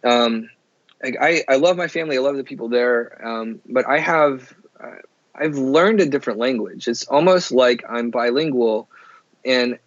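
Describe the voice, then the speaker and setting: A man's voice: mid-range voice, normal speed, dry recording, dull audio. A man from Oklahoma, a guest talking earnestly and thoughtfully in an interview, often restarting his sentences. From Oklahoma, USA. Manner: earnest, thoughtful, with frequent restarts and "you know". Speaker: podcast guest. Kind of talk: candid interview conversation.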